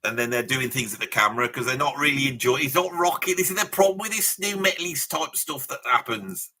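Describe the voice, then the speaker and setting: A brash, opinionated Brummie ladies' man in his seventies, as a man puts him on, holds forth on a livestream. His voice is very sharp and forceful at a middling pitch. He rants bluntly and matily, with mild swearing and tag questions. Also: fast. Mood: grumbling. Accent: Birmingham. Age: 70s. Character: brash, opinionated seventy-year-old Birmingham bloke, self-styled ladies' man. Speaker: livestream host. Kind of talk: casual livestream chat and commentary, answering viewer comments.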